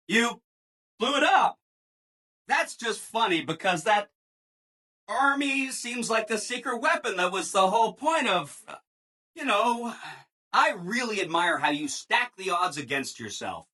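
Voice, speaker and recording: An actor playing a relentlessly cheerful, eager-to-please robot assistant in a video game, chirpy and over-eager, with exaggerated emphasis. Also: male voice, high-pitched, pushed and bright, slightly rough, full character voice. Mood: awkwardly upbeat. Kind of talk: animated video game character dialogue.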